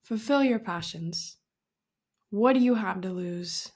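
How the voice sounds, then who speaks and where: A woman's voice: mid-range voice, takes it slowly, a little mellow, slightly rough. A woman of twenty-two hosting her own podcast, chatting casually with giggles, emphatic pep talk and mild swearing. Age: 20s. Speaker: podcast host.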